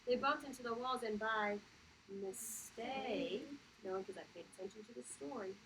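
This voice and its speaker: A woman's voice: on the high side, moderate pace, noisy background. A woman elementary teacher leading a read-aloud reading lesson for children, encouraging and emphatic, with plenty of questions.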